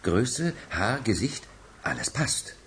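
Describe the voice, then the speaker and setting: Low-voiced imperious gentleman. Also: very animated, slow, full character voice. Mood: cold, exacting. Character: cool, demanding male client. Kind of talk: radio crime drama acting.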